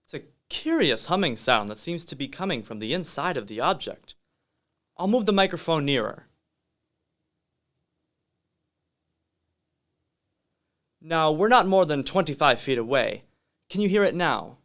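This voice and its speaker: Guy acting as awestruck radio reporter, highish voice, dramatic halting delivery, radio drama. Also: very animated, fairly bright tone. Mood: intrigued, urgent. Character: excitable male radio reporter, awestruck eyewitness. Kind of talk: radio play news reporting.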